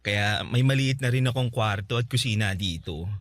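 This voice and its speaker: An actor playing a friendly, grateful, excitable salon owner in radio drama dialogue, with a chatty, giggly, expressive delivery. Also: male voice, fairly low pitch, normal speed, dry recording, close to an everyday voice.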